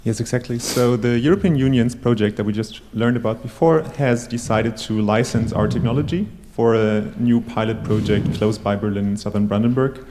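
A man's voice: medium pitch, moderate pace, few pauses, warm tone, a little hiss or hum. A man speaking as an expert panelist, explaining in technical, wordy, long winding sentences. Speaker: tech company CTO, panelist. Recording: reverberant hall.

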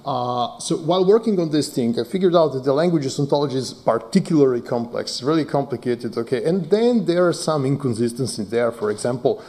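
A man whose first language is Serbo-Croatian, giving a conference talk as a data scientist, halting with many fillers and joking asides. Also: medium pitch, animated, mellow. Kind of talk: conference talk with live demo.

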